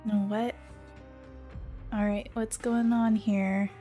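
Slow-talking, slightly hoarse woman streamer. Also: mid-range voice, level tone, warm tone, faint music behind. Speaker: VTuber streamer. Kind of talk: casual game livestream commentary.

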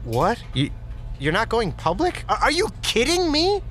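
A man's voice: extremely high pitch, wildly swooping pitch, a little slow, loud music behind the voice, heavily acted. An actor playing a chatty, joking office worker who laughs at his own puns in an audio drama. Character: goofy pun-loving male office worker Andy. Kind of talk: audio drama interview monologue.

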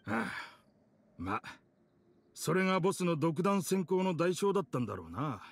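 An actor playing a rough-spoken, loyal young gentleman in a Japanese video game, blunt and emotive. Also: on the high side, very animated, normal speed, dry recording, exaggerated voice. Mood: serious explaining. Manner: emotive, blunt casual speech.

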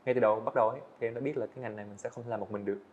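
A young man talks quickly and earnestly as a podcast guest, laying out his plans in long, casual explanations. His voice sits at an ordinary pitch. The recording sounds slightly dull. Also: steady tone, slight background noise. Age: young adult. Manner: earnest, explains at length with fillers. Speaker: guest, student animator. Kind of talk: casual interview talk. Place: studio set, indoors.

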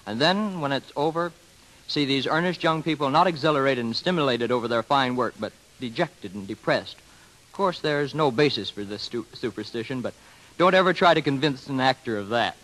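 A man's voice: mid-range voice, expressive, normal speed, a bit bright, poor audio. This is a man giving a semi-scripted interview on 1930s radio. He sounds formal and earnest, with a mid-range voice and long, wordy sentences. The recording has the sound of a dated broadcast. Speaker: guest expert on community theater.

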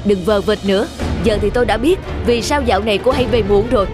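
An actor playing an intense, emotional husband in an animated drama, speaking emphatically. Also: male voice, high-pitched, fast, over music, lightly acted. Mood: accusing, angry. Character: intense, emotional husband, suspicious then remorseful.

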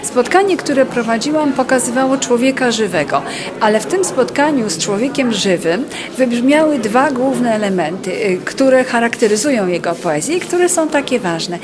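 Bright-pitched woman, earnest, crowd behind. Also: expressive, moderate pace. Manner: earnest, articulate with fillers. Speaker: festival event host interviewed. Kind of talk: spontaneous street interview.

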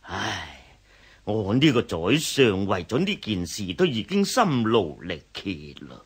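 Scheming Chinese chancellor, low husky voice, unctuous, measured, theatrical radio drama. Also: male voice, very animated, exaggerated voice. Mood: weary, sighing. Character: smooth-talking scheming male chancellor of imperial China. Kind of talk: Cantonese historical radio drama acting.

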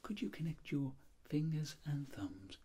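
A middle-aged man speaking in soft whispered ASMR, playing a clinic doctor giving gentle, unhurried instructions. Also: fairly high pitch, expressive, slightly rough.

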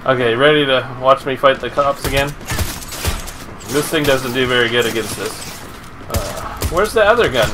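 Male game streamer, thirties, informal and profane chat-and-play commentary, ordinary mid pitch, noticeably noisy audio. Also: normal speed. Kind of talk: casual livestream gaming chat and commentary. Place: indoors at a home streaming setup. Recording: noisy stream with clear background noise.

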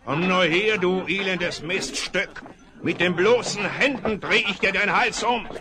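An actor playing a brawny, boastful cowboy bully who threatens and picks fights, in a 1970s radio play. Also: male voice, high voice, unhurried, a crowd in the background. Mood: blustering.

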